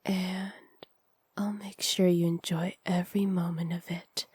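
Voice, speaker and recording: A woman playing a seductive, teasing witch in an intimate ASMR roleplay, sly and possessive, often slipping into breathy whispers close to the microphone. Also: on the low side, level tone, a little mellow, dry recording. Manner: slow, breathy, often whispered, flirtatious purr. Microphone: close studio microphone.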